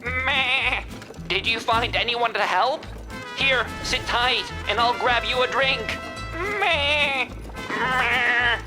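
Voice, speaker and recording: A woman's voice: a little high, takes it slowly, music in the background, poor audio. A woman voicing a helpful, chatty sheep bartender in a video game, with bleats between phrases. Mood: fussing, eager.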